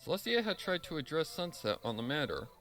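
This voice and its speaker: A man's voice: on the high side, big pitch swings, quick pace. A man narrating a scripted story in a dramatic reading style. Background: music.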